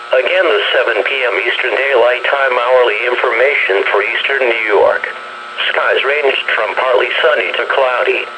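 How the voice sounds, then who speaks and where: A synthetic man's voice reads a scripted weather forecast as an automated announcer over a weather radio. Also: medium pitch. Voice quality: synthetic text-to-speech. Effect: weather radio broadcast. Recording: noisy radio speaker playback.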